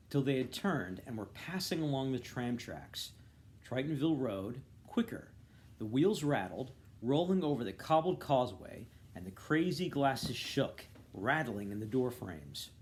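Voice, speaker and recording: Man reading a novel with lively intonation. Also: mid-range voice, a little slow. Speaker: reader of a novel, volunteer. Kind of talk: expressive literary narration.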